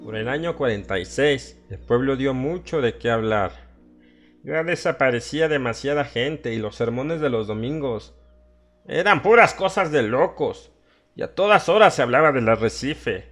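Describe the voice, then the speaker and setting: A man voicing a hysterical old townsman in a horror audiobook, rambling conspiratorially about dark secrets. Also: medium pitch, animated, normal speed, faint music behind, close to an everyday voice. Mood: agitated. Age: older. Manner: agitated, conspiratorial, laughing and questioning his listener. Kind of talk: dramatised horror audiobook monologue.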